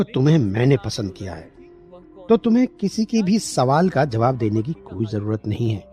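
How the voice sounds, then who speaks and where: A man's voice: mid-range voice, dark tone, lightly acted. Man acting a caring young husband, music behind. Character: caring, protective young Indian husband and son. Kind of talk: Hindi animated moral story dialogue.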